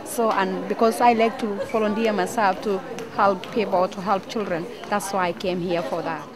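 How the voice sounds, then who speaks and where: A woman pageant contestant answers an interview in a plain, slightly halting way. A crowd chatters clearly behind her. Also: medium pitch, steady tone.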